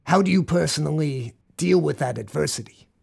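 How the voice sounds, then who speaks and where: A man interviewing a guest and asking calm, measured questions. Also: a little high, gravelly, husky.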